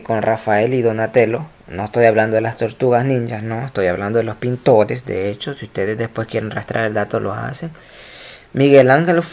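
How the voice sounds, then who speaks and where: A man teaching an art history lesson to students over slides, explaining at length with frequent fillers and restarts. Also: lowish voice. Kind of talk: recorded slide lecture.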